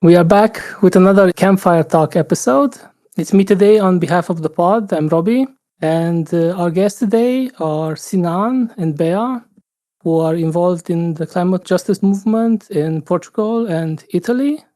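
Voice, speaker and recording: Guy hosting a podcast, warm low-key voice, lots of uhs and pauses. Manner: thoughtful, hesitant, many fillers. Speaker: podcast host. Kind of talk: casual podcast conversation.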